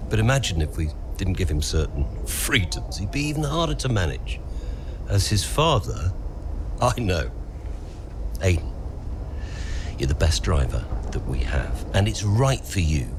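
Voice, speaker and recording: An actor playing a smooth, flattering middle-aged father who funds a racing team in a video game, persuasive and self-assured. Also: low-pitched, measured pace, pauses often, background noise. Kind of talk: video game cutscene acting.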